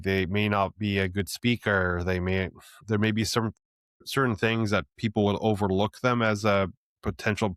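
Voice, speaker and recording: A man with a very deep voice hosts a relaxed interview. He muses aloud in a loose, easygoing way and often checks in with "right?". The sound is close and dry. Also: no echo. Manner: easygoing, with many fillers and restarts, ending lines with "right?". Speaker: podcast host. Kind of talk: casual interview conversation.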